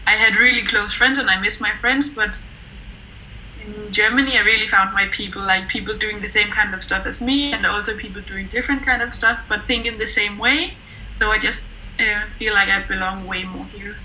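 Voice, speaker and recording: Bright-voiced young woman from Denmark. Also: medium pitch, fairly even intonation, brisk, muffled recording, slight background noise. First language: Danish. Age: young adult. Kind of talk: candid personal chat.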